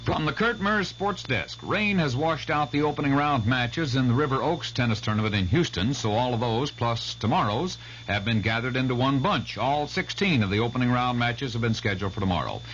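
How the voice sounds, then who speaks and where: A man's voice: medium pitch, a bit bright. A man reading sports results and a weather outlook as a radio news announcer. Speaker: sportscaster and newsreader. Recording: muffled old radio broadcast with hum.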